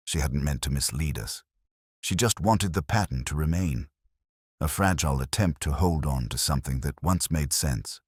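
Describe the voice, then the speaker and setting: Male narrator for detective fiction: extremely low register, expressive swings in pitch, solemn and measured, dry studio sound. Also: breathy edge, no room sound. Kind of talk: scripted first-person literary detective narration.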